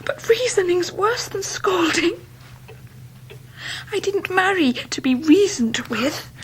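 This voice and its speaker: An English actress playing a childish, easily wounded young Victorian wife in a radio drama. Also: female voice, very high voice, lively intonation, slow, slight background noise, exaggerated voice, rough recording. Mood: petulant, hurt. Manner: girlish, pouting, easily wounded. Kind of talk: 1990s radio drama acting.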